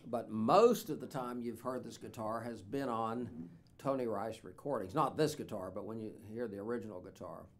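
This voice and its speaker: A man in his sixties with a drawl talks through a guitar for sale in an easy, meandering way. He fills pauses with plenty of "uh"s and restarts. He is recorded seated in a small, dim studio on a stand mic. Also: medium pitch. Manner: relaxed, rambling with many fillers. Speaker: instrument dealer. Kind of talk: casual product demo.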